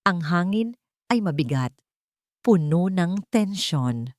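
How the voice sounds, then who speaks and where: A woman's voice: fairly low pitch, lively intonation, takes it slowly, a little mellow, dry recording, studio quality. A woman narrating a scripted dramatic story.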